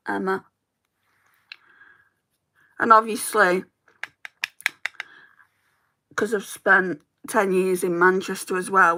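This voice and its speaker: A woman's voice: mid-range voice, sharp tone. A woman with a Lancashire accent chatting casually with viewers while doing her makeup and answering their comments. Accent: Lancashire English. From Lancashire, England. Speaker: beauty streamer.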